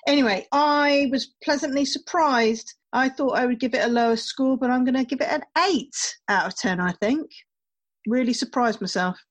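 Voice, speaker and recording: A woman's voice: high-pitched, lo-fi sound. A woman co-hosting a film review podcast, talking in an easygoing, casual way. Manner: easygoing, quick to laugh. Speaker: podcast co-host.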